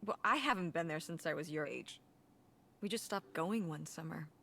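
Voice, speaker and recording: A woman's voice: deep voice, normal speed, creaky, a bit bright, slight background noise. A woman playing a practical, dryly sceptical middle-aged mother in a naturalistic video game performance. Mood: wistful.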